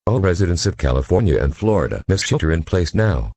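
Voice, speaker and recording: A man with an extremely deep voice reads a scripted civil warning as an announcer. His pitch swings a lot, and the sound is dry and close. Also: very animated, quick pace, mellow, no echo. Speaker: emergency alert announcer.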